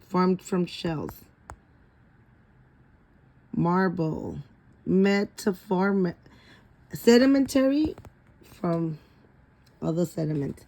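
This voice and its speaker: Slow-paced woman reading a picture book aloud. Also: on the low side, vocal fry, darkish tone, rough recording. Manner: stumbles over harder words and corrects herself. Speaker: story reader.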